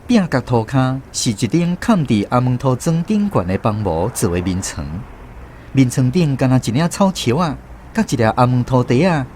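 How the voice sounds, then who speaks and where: A man's voice: mid-range voice, slight background noise, natural voice. A man with a Taiwanese accent narrating a radio drama as a grown grandson fondly recalling his grandfather. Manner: expressive, reminiscing storytelling.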